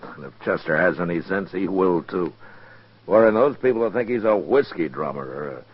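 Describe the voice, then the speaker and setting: An actor playing a dry, stubborn frontier marshal in a 1950s radio western, with a laconic, folksy delivery. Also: male voice, low voice, expressive, normal speed, husky. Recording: muffled, dull 1950s radio recording.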